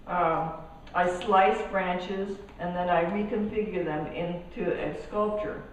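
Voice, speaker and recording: Soft-spoken woman in an echoey gallery. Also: lowish voice, fairly even intonation, distant mic, lo-fi sound. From Colombia. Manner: halting, with many restarts and fillers. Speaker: artist giving a gallery talk. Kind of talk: casual artist talk. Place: gallery hall. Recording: echoey hall, speaker off mic, faint noise.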